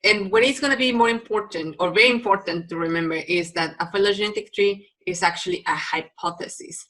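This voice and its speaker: A woman's voice: medium pitch, fairly quick, fairly bright tone. A woman from Mexico with a Mexican Spanish accent and Spanish as her first language, giving a recorded biology lecture with frequent ums and restarts.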